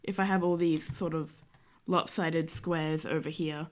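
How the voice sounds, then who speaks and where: A woman's voice: sits low, steady tone, unhurried. A young woman streamer, nasal and stuffy from a cold, chatting casually and rambling with many fillers as she draws. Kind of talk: casual chat while drawing.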